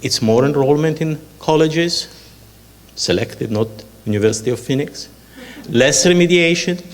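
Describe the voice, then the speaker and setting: A man in his sixties with an Israeli accent, giving a measured lecture with dry asides as a panel speaker. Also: on the high side, a little hiss or hum. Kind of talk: public lecture with slides. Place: lecture hall. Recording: long hall reverb.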